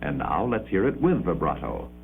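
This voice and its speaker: Deep-voiced man narrating a vintage educational film. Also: sing-song, gravelly, faint music behind. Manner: formal, measured, precisely enunciated. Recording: muffled, phone-like 1950s film soundtrack with hum.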